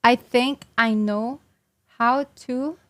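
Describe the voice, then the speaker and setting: A young woman streaming to her chat, talking casually in a high, bright register. She is blunt and sarcastic. The sound is dry and close to the mic. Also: fairly even intonation, slow pace, no echo.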